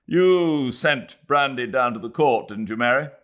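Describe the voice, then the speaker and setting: A man's voice: mid-range voice, animated, a little slow, a bit bright, voice pushed up. A man voicing a weary older colonial administrator with a curt, clipped manner. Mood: gruff.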